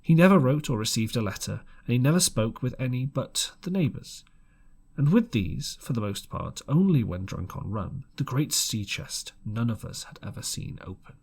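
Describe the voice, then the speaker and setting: A man reading an adventure storybook aloud in an expressive amateur style, dramatic and slightly halting. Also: mid-range voice, gravelly, husky. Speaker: audiobook reader.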